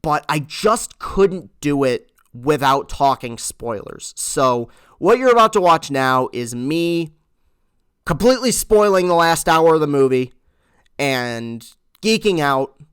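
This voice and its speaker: A man reviewing a movie in casual, earnest talk, halting and repeating words as he goes. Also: a little high, expressive, fairly bright tone, smooth, no room sound, clean studio sound. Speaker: film reviewer.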